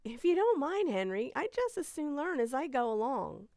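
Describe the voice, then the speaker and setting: A woman's voice: sits high, sing-song, moderate pace, darkish tone, dry recording, clean studio sound. A woman playing a haughty, indignant teenage girl, prim and snooty, in old-time radio comedy style. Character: haughty, indignant teenage older sister Mary.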